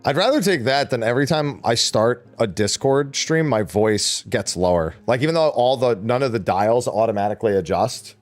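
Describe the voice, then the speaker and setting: A man's voice: medium pitch, animated, normal speed, hardly any pauses, faint music behind. A man hosting a casual gaming podcast stream, laughing often and swearing casually.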